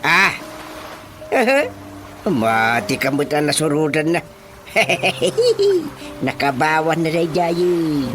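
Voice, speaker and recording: An actor with a Filipino Ilocano accent playing an excitable, boastful village chairman and father in a radio comedy drama, exclaiming theatrically. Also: a little high, very slow, pauses often, full character voice. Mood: comic, indignant. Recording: music behind the voice.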